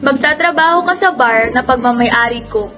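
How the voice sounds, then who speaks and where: A woman's voice: a little high, normal speed, bright, forceful tone, soft background music. A woman playing a cold, domineering, greedy bar owner in a radio drama, with a curt, bossy manner. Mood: stern.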